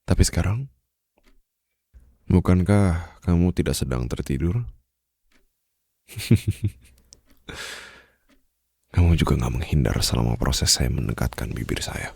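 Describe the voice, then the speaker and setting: A man playing a smitten, suave mafia boss in an intimate ASMR romantic roleplay, teasing softly and possessively close to the microphone in a low, gravelly, breathy voice. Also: lively intonation, dry recording, heavily acted. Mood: tender, reassuring. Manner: slow, soft, flirtatious teasing with formal wording. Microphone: close studio microphone.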